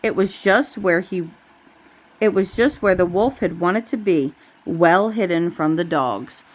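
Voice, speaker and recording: Lower-voiced woman reading a bedtime story. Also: lively intonation, unhurried, low-quality recording. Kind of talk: bedtime story read-aloud with casual asides.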